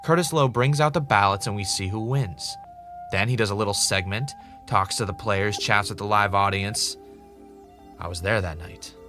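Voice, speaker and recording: A man's voice: on the low side, normal speed, fairly bright tone, soft background music, lightly acted. A man playing an anxious, obsessive fan in a fiction podcast, telling the story in an earnest, rambling way. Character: obsessive, anxious male fan investigating a cancelled show. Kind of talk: fiction podcast narration, conversational.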